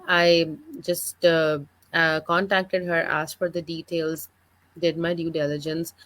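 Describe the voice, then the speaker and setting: A woman's voice: sits low, fairly bright tone, no room sound. A woman from Pakistan in her thirties, a guest on a video call interview, telling her story in a friendly, fluent way with many filler uhs. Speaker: guest, digital business mentor. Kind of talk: online interview, personal story.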